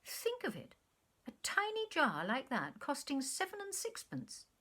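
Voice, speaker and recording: A woman's voice: high-pitched, wildly swooping pitch, a little slow, pushed and bright, pitched above the performer's own voice, heavily acted. A woman voicing a self-absorbed, wistful man who reminisces to a former lover, effusive and earnest, in a short story reading. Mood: incredulous.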